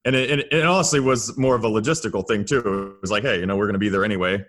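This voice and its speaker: Lower-voiced American man in casual chat. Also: racing, fairly bright tone. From Florida, United States. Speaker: podcast guest, audio drama creator.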